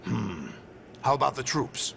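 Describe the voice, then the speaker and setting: An actor voicing a cold, middle-aged villain commander in a video game, speaking with deliberate, ominous authority. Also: male voice, medium pitch, big pitch swings, gravelly, fairly bright tone, slight background noise, exaggerated voice. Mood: cold, commanding. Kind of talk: dramatic video game cutscene acting.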